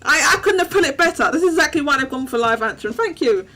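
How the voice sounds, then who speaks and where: A woman hosting a live call-in stream, chatty and upbeat, her voice going a little hoarse. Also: sits high, animated, quick pace, pushed and bright. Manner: chatty, restarts often, upbeat. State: losing her voice. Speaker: host.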